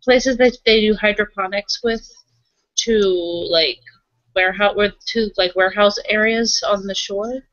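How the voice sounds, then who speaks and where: Lady player in a casual rpg session, bright sharp voice, dry kinda lo-fi sound. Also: mid-range voice, fairly even intonation, measured pace, no room sound. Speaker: tabletop roleplaying player. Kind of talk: casual game-table chat.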